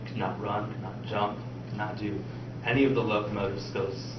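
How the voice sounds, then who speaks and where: Young guy doing a class presentation, lowish voice, muffled lo-fi, far from the mic. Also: level tone, normal speed. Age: young adult. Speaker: student giving a class speech. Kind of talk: informative classroom speech. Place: classroom, indoors. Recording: distant mic, roomy echo, dull noisy lo-fi.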